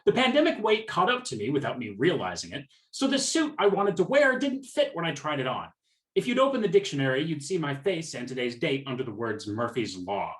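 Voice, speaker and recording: A man's voice: on the high side, sing-song, brisk, fairly bright tone. A man playing an awkward, flustered, lovelorn customer in a radio play, hesitant and self-deprecating as he talks. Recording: roomy, echoing sound.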